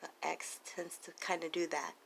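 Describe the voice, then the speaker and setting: A woman giving a casual, improvised tarot reading in a conversational way, with some gravelly fry in her voice. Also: on the low side, little pitch movement, moderate pace. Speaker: tarot reader, channel host. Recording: audible background noise.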